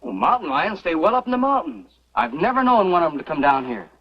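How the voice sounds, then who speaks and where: An actor playing an earnest, protective and excitable young suitor with a folksy manner in an old western radio drama. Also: male voice, a little high, quick pace. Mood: plain-spoken. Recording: muffled old radio recording, loud music.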